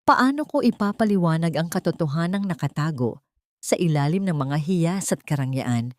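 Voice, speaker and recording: Low-voiced woman narrating a dramatic story. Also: lively intonation, normal speed, no room sound, clean studio sound. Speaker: audiobook narrator.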